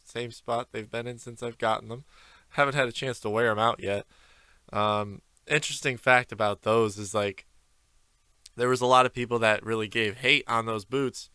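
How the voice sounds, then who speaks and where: A man's voice: on the low side, pushed and bright, clear, resonant tone, dry recording. A young man hosting a solo podcast from his basement, chatting freely and rambling with lots of fillers. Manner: rambling, freestyle with many fillers. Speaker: podcast host. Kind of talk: casual solo podcast chat. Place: home basement with concrete walls. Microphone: desk microphone on camera.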